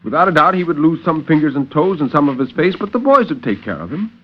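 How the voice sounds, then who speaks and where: A man narrating a dramatic horror story in a measured, grave, theatrical 1950s radio style. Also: a little high, sing-song. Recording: dull vintage 1950s radio recording.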